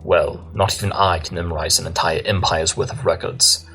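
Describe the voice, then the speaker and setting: A man's voice: sits low, fairly even intonation, moderate pace, pushed and bright, soft background music. A man playing an eccentric, self-assured pony detective in a cartoon audio drama, articulate, formal and cheerfully dry. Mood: matter-of-fact.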